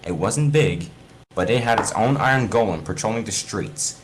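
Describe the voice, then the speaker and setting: A man with a lowish voice reads a story aloud for friends. His pitch swings widely, and he trips on words and fixes them as he goes. There is faint noise behind him. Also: animated, moderate pace. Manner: stumbles and corrects words while reading. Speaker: reader of a fan fiction story. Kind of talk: informal read-aloud narration among friends.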